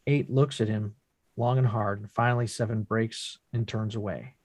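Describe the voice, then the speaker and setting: A man's voice: lowish voice, steady tone, moderate pace, a little mellow, no room sound. A man reading out stage directions as the narrator of a scripted drama table read.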